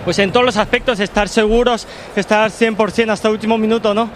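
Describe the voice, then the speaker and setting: A male football player giving a halting, careful halftime interview. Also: high-pitched, steady tone, a little hiss or hum.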